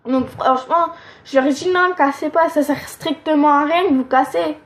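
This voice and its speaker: A woman's voice: high voice, steady tone, moderate pace, echoey room, slight background noise. A teenage girl giving frank, rambling relationship advice, with a nasal, stuffed-up voice and lots of fillers. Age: teens. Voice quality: nasal from allergies. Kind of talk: casual advice monologue.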